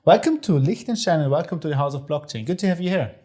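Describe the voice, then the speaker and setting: A man's voice: a little high, lively intonation, quick pace, warm tone. Chatty male podcast host. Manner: friendly, hesitant, many fillers and restarts. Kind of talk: casual interview.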